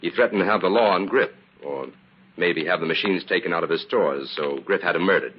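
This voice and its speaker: An actor playing a calm, shrewd crime investigator in an old-time radio drama, explaining things crisply and confidently. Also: male voice, low-pitched, brisk. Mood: explaining, serious. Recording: muffled, hissy old radio recording.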